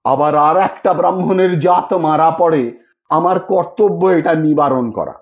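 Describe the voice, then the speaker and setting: A man voicing a shrewd, self-righteous old gentleman who talks in a measured, persuasive way in an audio story. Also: on the high side. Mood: cool, self-righteous. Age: older.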